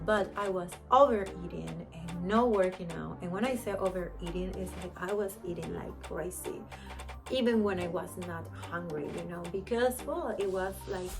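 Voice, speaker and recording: A woman in her thirties from Panama, with a Panamanian Spanish accent, talking candidly to camera as a vlogger with many fillers. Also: lowish voice. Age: 30s. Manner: chatty, candid, frequent fillers like "you know". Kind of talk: casual chat to camera.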